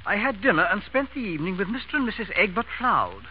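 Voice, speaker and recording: Querulous, befuddled English aristocrat, high-pitched, hesitant, noisy dull vintage radio recording. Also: male voice, very animated, fast. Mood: testy. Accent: upper-class English. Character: grumpy, befuddled English lord, bumbling and hesitant. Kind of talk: 1930s radio acting.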